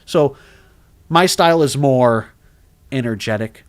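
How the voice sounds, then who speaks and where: A man's voice: mid-range voice, slow. Chatty man rambling on a livestream. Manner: rambling, animated, many restarts and fillers. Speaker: horror story narrator and streamer. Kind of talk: casual livestream chat reading comments.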